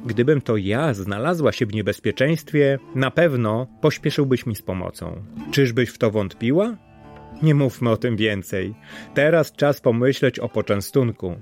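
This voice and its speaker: A man's voice: medium pitch, lively intonation, darkish tone, soft background music, natural voice. Man playing a proud, clever little female mouse. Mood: kindly, hospitable. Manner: brisk, proud, forthright. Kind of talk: storybook voice acting.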